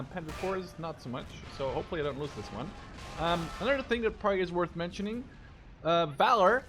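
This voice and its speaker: Man in his twenties, native Dutch speaker, slightly high voice, casual rambling gameplay commentary, music behind. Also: pushed and bright. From Netherlands. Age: 20s. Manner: rambling, digressive, informal with mild swearing. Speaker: gaming video creator.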